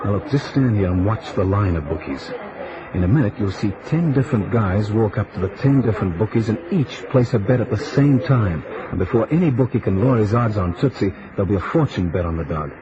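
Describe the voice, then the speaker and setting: Guy playing slangy cocky radio detective, lowish voice, old muffled hissy recording. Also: expressive, fairly quick, natural voice. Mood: knowing, measured. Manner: slangy, confident. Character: easygoing, knowing male private detective. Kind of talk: vintage radio detective drama acting. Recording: muffled vintage radio recording, clear hiss.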